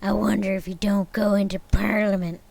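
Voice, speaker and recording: A woman's voice: medium pitch, level tone, moderate pace, gravelly, darkish tone. A woman reading aloud and voicing a miserly, grumpy old man who growls his curt replies. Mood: gruff.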